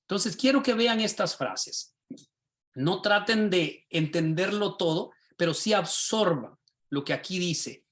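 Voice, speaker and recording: Animated man teaching a class. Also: high voice, slow pace. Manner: animated, rhetorical questions, asks the class directly. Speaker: pastor and teacher.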